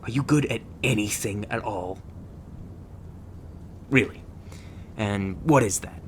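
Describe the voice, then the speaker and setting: A man acting a low-voiced, gruff monster hunter. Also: slow pace, slight background noise. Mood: stern, challenging. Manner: foul-mouthed, sardonic, scornful. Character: gruff, sarcastic, guarded male monster hunter mentor. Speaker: voice actor in an audio roleplay. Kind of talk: ASMR roleplay acting.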